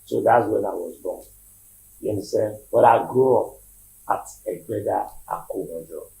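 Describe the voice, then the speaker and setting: Male musician guest, Nigerian accent, lowish gravelly voice, casual storytelling with repeated phrases. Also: normal speed, warm tone, low-quality recording. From Lagos, Nigeria. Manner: repeats himself, often checks listener understands.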